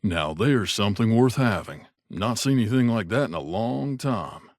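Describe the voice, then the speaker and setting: Gruff mercenary sidekick, lowish pitch, wry, grumbling, video game dialogue, close dry sound. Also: male voice, animated, normal speed, no echo. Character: wry, gruff male mercenary companion in a wasteland. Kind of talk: game character voice lines.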